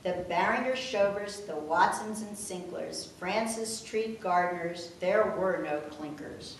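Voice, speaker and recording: Older woman, unhurried sing-song verse reading, very reverberant room, distant microphone. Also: fairly low pitch. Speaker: family member reading her own poem. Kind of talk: reading a rhyming tribute poem aloud, with informal asides. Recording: echoey room, distant mic, faint noise.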